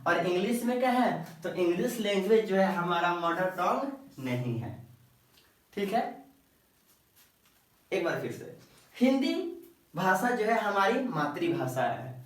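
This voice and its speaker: A man's voice: sits high, very animated, unhurried, long pauses. Man, teacher, repetitive drilling, rhetorical questions, distant from the mic, heavy room echo. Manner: drills by repeating sentences and asking rhetorical questions; keeps checking in with the listener. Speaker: English teacher. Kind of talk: explaining a grammar lesson. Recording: very echoey room, voice far from the mic.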